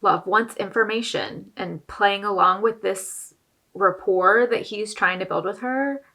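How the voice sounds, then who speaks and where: A woman's voice: mid-range voice, unhurried, sharp tone. A woman hosting a reaction video, giving casual, opinionated commentary on a TV show in a conversational way.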